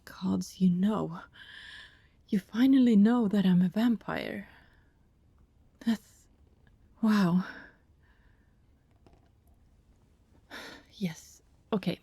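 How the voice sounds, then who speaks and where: A woman playing an anxious, apologetic vampire neighbor in an ASMR roleplay, soft and hesitant, often whispering, with politely formal wording. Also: medium pitch, gravelly, husky, no room sound, exaggerated voice. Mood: resigned, nervous.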